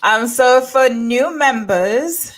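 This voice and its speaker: A woman's voice: on the high side, lively intonation, takes it slowly. A woman from Trinidad, an upbeat and chatty podcast host who laughs often. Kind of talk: casual podcast chat.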